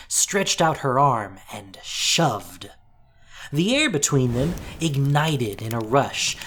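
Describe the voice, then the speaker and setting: A woman with a very deep voice reads dramatic fantasy fiction. Her delivery is slow and deliberate, with theatrical intensity. The pitch moves widely across phrases. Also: slightly rough. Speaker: narrator.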